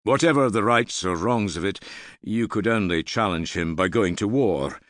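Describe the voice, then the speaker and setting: A man voicing a sober, loyal Norse counsellor in an audiobook, measured and blunt. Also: fairly low pitch, a bit bright, no room sound, studio quality, voice pushed up, close to an everyday voice. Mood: sober, reasoning. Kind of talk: audiobook character dialogue.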